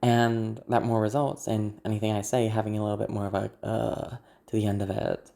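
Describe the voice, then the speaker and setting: An ASMR content creator with a naturally gravelly, growly voice, talking to viewers in a candid, self-deprecating, rambling way. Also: mid-range voice, little pitch movement, a little fast, mellow. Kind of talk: casual personal talk to viewers.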